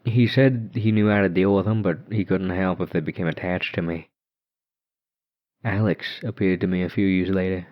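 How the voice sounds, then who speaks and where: A man's voice: deep voice, fairly even intonation, moderate pace. A man narrating a horror story dramatically in the first person. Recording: dull muffled lo-fi recording.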